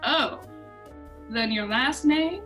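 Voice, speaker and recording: Woman acting a high-voiced femme fatale. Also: expressive, slow pace, fairly bright tone, exaggerated voice. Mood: coy, persuasive. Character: sultry scheming femme fatale in a noir spoof. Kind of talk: radio drama comedy acting. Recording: music bed over video-call audio. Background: music.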